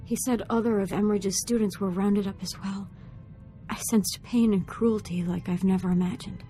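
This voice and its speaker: An actress playing a young, earnest, troubled Sith apprentice in a video game, speaking gravely and formally. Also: female voice, mid-range voice, dark tone, lightly acted. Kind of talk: dramatic video game voice acting.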